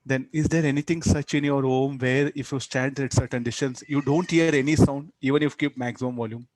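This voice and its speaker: A man's voice: medium pitch, steady tone, brisk, darkish tone, no echo, rough recording. A man with a South Indian accent teaching physics as an interactive lecture, repeating words and tagging sentences with short questions.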